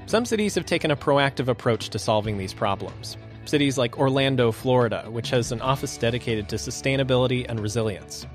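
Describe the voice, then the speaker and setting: A man hosting a science podcast, reading a scripted intro and asking interview questions clearly. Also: mid-range voice. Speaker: podcast host.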